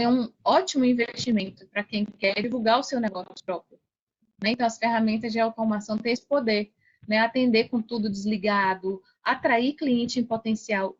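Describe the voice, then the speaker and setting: High-voiced Brazilian woman, slightly muffled recording. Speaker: podcast guest, affiliate seller. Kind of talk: casual conversational storytelling. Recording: dull, band-limited sound.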